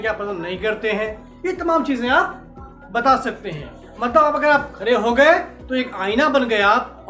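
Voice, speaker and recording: A man gives an English lesson in a classroom, slipping English phrases into Hindi and asking his students questions. His voice sits very high. The room is echoey, with faint music underneath. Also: a bit bright. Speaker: English teacher.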